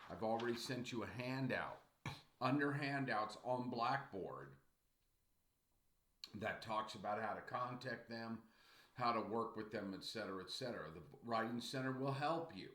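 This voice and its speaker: A man in his sixties, a college writing instructor giving a recorded video lecture at his webcam at home. He talks slowly and repeats himself in an encouraging way, clearing his throat often. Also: mid-range voice, a bit bright. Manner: slow, repetitive, encouraging teacher talk with frequent throat clearing. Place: small home room indoors. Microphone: webcam at desk. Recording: echoey room with faint background noise.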